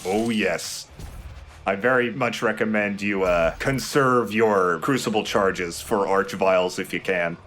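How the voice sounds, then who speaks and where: A man's voice: on the low side, a little slow, a bit bright, slight background noise. A young man giving casual, explanatory video game commentary with some swearing. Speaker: let's play host and player.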